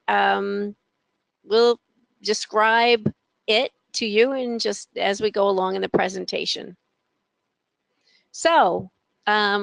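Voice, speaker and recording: Gravelly-voiced middle-aged woman presenting a webinar. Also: mid-range voice, a bit bright, no echo, low-quality recording. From New York. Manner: hesitant, many ums and restarts. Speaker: academic director.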